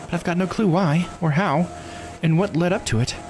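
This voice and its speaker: A man's voice: high-pitched, normal speed, background noise, pitched above the performer's own voice. A man voicing an evasive, casual immortal girl from a visual novel, with hesitant, informal speech. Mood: guarded, casual. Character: immortal girl, eight hundred years old, evasive and casual. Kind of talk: visual novel character read-aloud.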